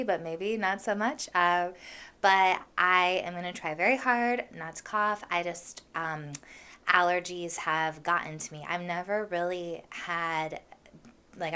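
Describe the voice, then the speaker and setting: Hoarse-voiced woman hosting a podcast. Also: lowish voice, bright, forceful tone. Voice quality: froggy, husky voice. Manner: chatty, with many fillers and laughs. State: hoarse from allergies. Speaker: podcast host. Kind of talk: casual solo podcast chat.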